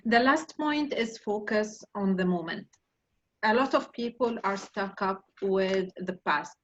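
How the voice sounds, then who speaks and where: A woman's voice: medium pitch, measured pace, fairly bright tone, dull audio. A woman from Lebanon with a Lebanese accent, hosting an online mastermind group and sharing personal stories in a reflective way.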